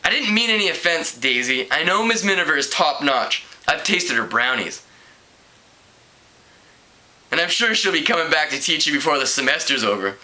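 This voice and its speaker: A man's voice: fairly high pitch, expressive, moderate pace, pushed and bright, rough recording. A man voicing a young, hip female substitute teacher for a storybook, in a casual, upbeat, assured manner. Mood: confident, upbeat.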